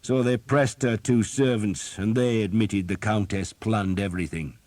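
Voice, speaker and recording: A man voicing a blunt, foul-mouthed male archer captain who shouts and curses, in a dry, close recording. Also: fairly low pitch, fairly even intonation, normal speed, no echo, pitched above the performer's own voice, natural voice. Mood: gruff. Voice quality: shouting, cursing.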